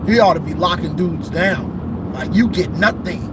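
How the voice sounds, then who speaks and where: A man hosting casual football talk, rambling and repeating himself, a bit hoarse from losing his voice, calling in from a vehicle. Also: high-pitched, measured pace, heavy background noise. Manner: rambling, repeats himself, says "you know what I'm saying". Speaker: football show host. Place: in a vehicle on a bridge.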